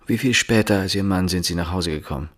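An actor playing an insistent, probing police inspector, questioning deliberately in a naturalistic radio drama. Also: male voice, low voice, a bit bright. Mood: stern, probing.

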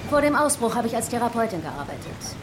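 An actress playing a composed, analytical therapist turned hardened survivor in a horror radio drama, speaking in a measured, cool way. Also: female voice, on the high side, very animated. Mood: reflective. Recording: very loud ambient noise behind voice.

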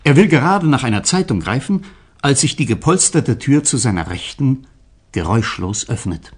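An actor playing the narrator of a detective radio drama, telling the story deliberately as scripted narration. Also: male voice, medium pitch, big pitch swings, breathy edge. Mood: suspenseful.

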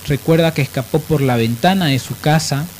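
Male radio host, Bolivian accent, fairly high voice, emphatic explainer, some background noise. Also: a little fast, a little mellow. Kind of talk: radio discussion and biographical narration.

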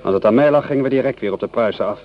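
Male actor as ageing career lieutenant, proud, gruff, blustering and commanding, radio drama, muffled sound. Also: mid-range voice, racing, lightly acted. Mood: indignant. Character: gruff, proud veteran army lieutenant, older man, indignant. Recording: muffled old radio play recording.

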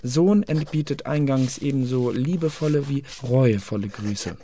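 An actor playing an officious secret service clerk who reads out reports in a formal, clipped way in a German radio drama. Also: male voice, medium pitch, a little slow, noisy background, close to an everyday voice.

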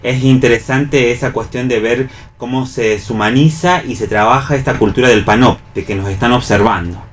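Male Argentine panelist, mid-pitched, expository, rephrases as he goes; some background noise. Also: normal speed. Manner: expository, repeats and rephrases phrases. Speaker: radio show panelist. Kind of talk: analytical round-table discussion.

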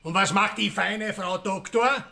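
An actor playing a bitter, cornered Austrian village murderer in a radio crime drama, indignant and sneering. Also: male voice, high voice, a little slow, creaky, pushed and bright, heavily acted. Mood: hysterical rage.

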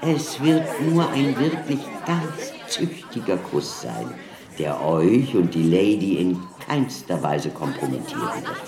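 A theatrical, persuasive showman doctor addresses a hall in low, slow phrases. His pitch swings widely and formal courtesy colours every line, with a murmuring crowd around him in the echoing room. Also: very animated, heavily acted. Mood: suave, persuasive. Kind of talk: German radio drama acting. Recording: echoey hall with audience murmur.

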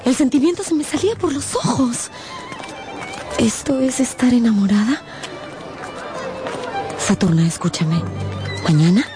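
A woman's voice: medium pitch, natural voice. An actress playing an impulsive, naive young woman in love in a radio novel, dreamy, sighing and melodramatic. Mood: excited confession.

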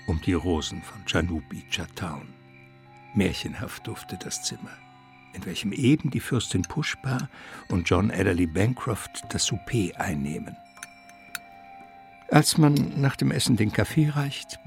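A man narrating a literary radio play in measured, formal storytelling diction. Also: on the low side, vocal fry, exaggerated voice. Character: composed male storyteller of an old-fashioned adventure tale.

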